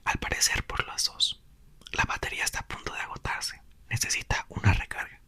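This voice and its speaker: A man voicing a tired, proud scientist father in a hushed storybook whisper. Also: a little fast. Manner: whispered, only lightly voiced as a character. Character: exhausted but proud male scientist father.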